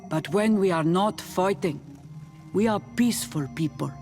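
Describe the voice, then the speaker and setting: An actress playing a proud, stern chief of a warrior clan in a fantasy game, with solemn, guarded, declarative speech. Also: female voice, lowish voice, normal speed, darkish tone, faint music behind, close to an everyday voice. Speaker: game character actor. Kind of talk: scripted fantasy game dialogue.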